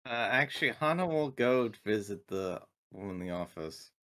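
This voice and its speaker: Lively low-voiced man playing a tabletop game. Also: moderate pace. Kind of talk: casual game chat.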